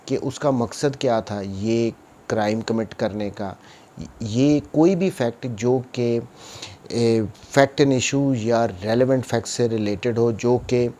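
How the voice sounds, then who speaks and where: A man's voice: medium pitch, dark tone. A man with a Pakistani accent giving an explanatory law lecture, mixing in English terms and answering his own questions step by step.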